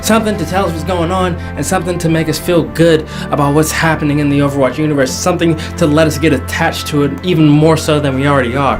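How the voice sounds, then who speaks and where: A man hosting a casual, jokey video countdown, speaking emphatically and repeating phrases for effect. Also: fairly high pitch. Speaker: host of a video game movie countdown show. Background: faint background music.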